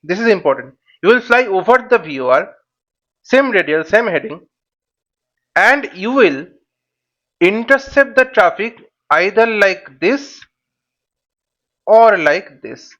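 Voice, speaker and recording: A man's voice: high-pitched, animated, slow pace, long pauses, fairly bright tone. A man giving an informal step-by-step tutorial as an instructor, often posing questions and answering them himself. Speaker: flight simulation instructor.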